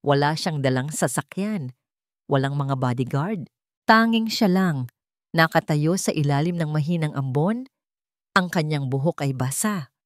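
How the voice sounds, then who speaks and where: Low-voiced Filipino woman narrating drama. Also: unhurried, dry recording, studio quality. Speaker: story narrator. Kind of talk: dramatic scripted romance story narration.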